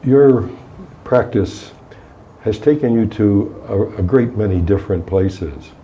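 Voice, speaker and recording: A man's voice: on the low side, takes it slowly, dark tone, muffled recording. A man interviewing a guest, asking long questions he rephrases as he goes.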